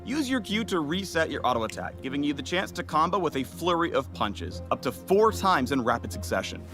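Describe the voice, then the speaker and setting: A man's voice: a little high, big pitch swings, a little fast. A man giving scripted, hype promotional narration for a game video, upbeat with punchy jokes. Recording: played video with music behind.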